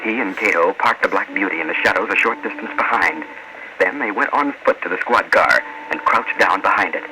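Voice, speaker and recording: A man's voice: on the low side, expressive, brisk. A man narrating a scripted old-time radio adventure serial in an earnest American voice. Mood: steady, suspenseful. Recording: very noisy old radio broadcast recording.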